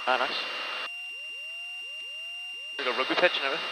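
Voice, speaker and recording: A man's voice: medium pitch, a little fast. A man chatting casually with his flying companion over a headset intercom in a light aircraft. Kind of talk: casual chat. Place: small aircraft cockpit in flight. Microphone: aviation headset intercom. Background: engine hum and radio.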